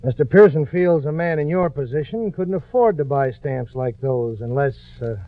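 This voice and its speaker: A man's voice: fairly high pitch, expressive, normal speed, natural voice. A man playing a stern, angry investigator in a 1940s radio drama, speaking in a pointed, accusing way. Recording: muffled old radio recording.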